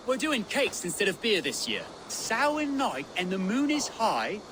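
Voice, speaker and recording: High, swooping jovial villager. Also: male voice, wildly swooping pitch, a little slow, noisy background, heavily acted. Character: jovial village householder man trading festive rhymes. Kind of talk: video game dialogue acting.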